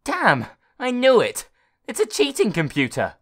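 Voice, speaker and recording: A man voicing an arrogant young schoolboy who talks back loudly and defiantly. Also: on the high side, big pitch swings, pitched above the performer's own voice. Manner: defiant, quick and loud.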